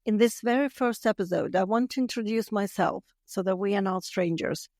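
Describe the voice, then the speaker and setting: A woman in her fifties from Latvia with a Latvian accent, hosting a podcast in a measured, carefully read monologue. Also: mid-range voice, darkish tone, no room sound, clean studio sound. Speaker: podcast host. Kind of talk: scripted solo podcast monologue.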